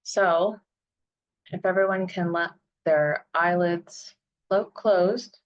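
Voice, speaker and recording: Female meditation guide: very slow, soothing, lowish voice, long pauses, slightly muffled lo-fi recording. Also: steady tone.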